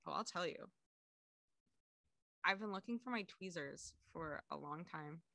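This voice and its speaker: A woman's voice: lowish voice, a little slow, slight background noise. A young woman co-hosting a podcast, telling stories in casual chat and laughing often. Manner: animated storyteller, laughs often. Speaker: podcast co-host.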